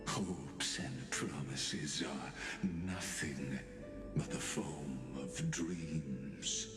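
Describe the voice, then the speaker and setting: Solemn fantasy game storyteller, very low voice, slow measured delivery, theatrical, music behind. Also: male voice, steady tone, roomy sound, heavily acted. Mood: solemn, ominous. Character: grave, solemn male storyteller of an ancient tragedy. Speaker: narrator. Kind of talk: scripted dramatic narration in a fantasy game.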